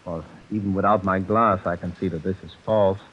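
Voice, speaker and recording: Casting: male actor as low-voiced, pompous, suspicious gem expert; clipped and imperious, vintage radio drama, muffled recording. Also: steady tone, brisk, a little hiss or hum, natural voice. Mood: indignant. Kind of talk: old-time radio suspense acting.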